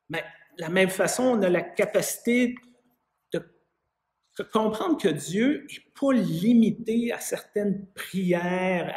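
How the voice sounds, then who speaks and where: A man in his fifties with a Quebec French accent, giving a sermon as a pastor in a measured, conversational way with some hesitant phrasing. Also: sits high, darkish tone. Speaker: parish pastor. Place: small vaulted room with an arched window, indoors. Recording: echoey room.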